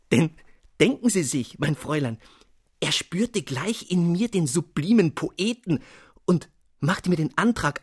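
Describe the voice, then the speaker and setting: Cast a man as a preening young student poet, flowery and husky. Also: on the high side, no room sound, clean studio sound. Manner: florid, self-admiring. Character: young male student poet, vain and effusive.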